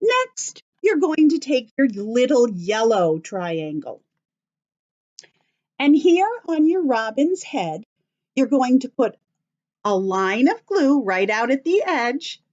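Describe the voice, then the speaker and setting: Woman, teacher of young children, slow and simple instructions, sing-song swings in pitch, slightly dull lo-fi recording. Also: very animated, a little mellow. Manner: simple step-by-step phrasing, encouraging children with questions. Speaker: children's teacher leading craft and story time.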